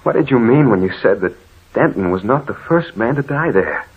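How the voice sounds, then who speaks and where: A man's voice: medium pitch, lively intonation, moderate pace. An actor playing an alarmed, urgent man seeking help in a 1940s radio horror drama. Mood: uneasy questioning. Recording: tinny, rough old radio recording.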